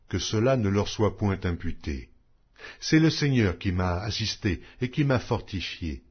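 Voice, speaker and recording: A man reading scripture from a script as an audio Bible narrator, in a solemn, declamatory manner with measured pauses. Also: on the low side, slightly rough, highs cut off.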